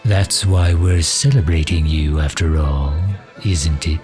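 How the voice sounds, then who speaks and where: Casting: male actor as a loyal fantasy mage advisor, extremely low voice, slow and dryly cutting, for roleplay audio. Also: music in the background. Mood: teasing, sardonic. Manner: dry, sardonic, drawn-out delivery with sighs. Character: loyal, sharp-tongued male mage advisor to a demon ruler. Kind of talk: ASMR roleplay audio drama.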